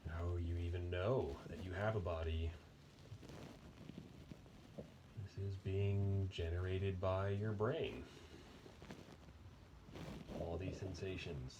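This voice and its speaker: A man leading an unscripted guided body meditation in a gentle, unhurried manner with long pauses. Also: deep voice, fairly even intonation, muffled recording, background noise.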